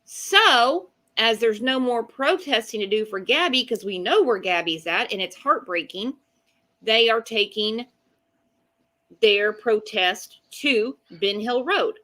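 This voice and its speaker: Woman, fairly high voice, bright and forceful, casual opinionated chat, blunt and emphatic. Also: expressive, takes it slowly. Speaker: co-host of a live true-crime chat show.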